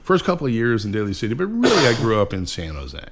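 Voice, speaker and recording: Low-voiced profane rambling man near fifty. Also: wildly swooping pitch, fast talker, very noisy. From California, USA. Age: around 50. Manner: rambling, profane, jokey storyteller. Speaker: podcast guest. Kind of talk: casual podcast chat.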